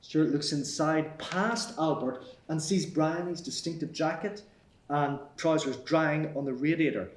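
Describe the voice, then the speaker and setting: A man reading stage directions aloud at a live table read, even and matter-of-fact, in an echoey theatre. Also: fairly high pitch, unhurried. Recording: echoey hall.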